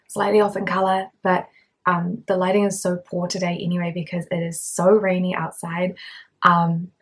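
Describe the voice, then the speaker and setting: A young adult woman reviewing a beauty product at home in a casual, chatty way, her voice lowered by illness. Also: breathy edge. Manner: chatty, frequent fillers. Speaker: beauty vlogger. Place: at home indoors.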